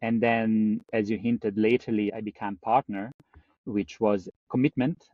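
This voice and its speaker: Italian-accented man, middle-aged, fairly low, animated podcast guest; dry, slightly dull audio. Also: no room sound. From Italy. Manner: lively, laughs often, self-deprecating. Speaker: guest, climate engineer. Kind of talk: podcast interview.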